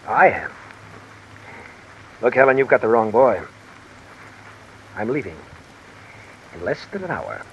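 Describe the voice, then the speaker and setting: A man playing a guarded, polite, smooth-talking crooked assistant in 1950s radio mystery style. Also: mid-range voice, a little slow, pauses often, dull audio. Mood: resigned, terse. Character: guarded, smooth-talking embezzling male assistant under pressure. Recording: old radio recording with steady rain noise. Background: rain falling.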